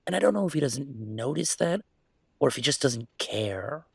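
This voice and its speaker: Gravelly, wry young werewolf played by a man. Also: mid-range voice, big pitch swings, no echo, heavily acted. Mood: hurt, indignant. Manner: halting, sardonic, rambling confiding. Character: troubled young male werewolf, wry, self-deprecating, hurting. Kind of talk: intimate audio roleplay monologue.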